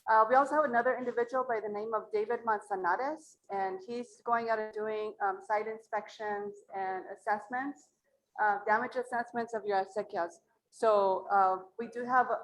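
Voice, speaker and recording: Low-voiced woman from Mora. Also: low-quality recording. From Mora, New Mexico. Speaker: acequia association representative. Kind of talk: speaking at a community meeting. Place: library community center meeting room.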